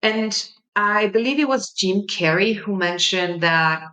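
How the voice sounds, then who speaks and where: A young woman from Mexico, a native Spanish speaker with a Mexican Spanish accent, hosting her podcast in a gentle, reflective monologue with soft pauses. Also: mid-range voice, lively intonation, low-quality recording. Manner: gentle, warm-hearted, unhurried with soft pauses. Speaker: podcast host.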